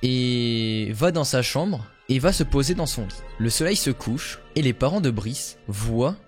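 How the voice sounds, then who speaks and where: A man narrating paranormal stories in a steady, measured storytelling voice. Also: medium pitch, soft background music. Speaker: YouTuber.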